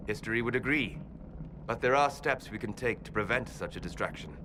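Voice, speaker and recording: Calm, solemn actor as an elven mage. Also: male voice, medium pitch, noisy background, close to an everyday voice. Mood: grave, measured. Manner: measured, grave, formal phrasing. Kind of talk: video game cutscene acting.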